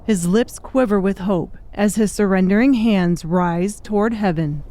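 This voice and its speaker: A woman's voice: mid-range voice, a little slow, dark tone. A woman reading scripted, dramatic audiobook narration.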